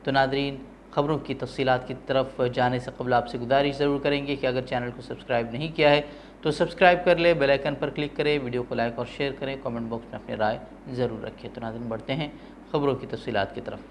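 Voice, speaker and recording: A man's voice: mid-range voice, fairly even intonation, fast talker, slight background noise. A man presenting opinionated news with formal, literary diction. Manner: formal Urdu-heavy diction.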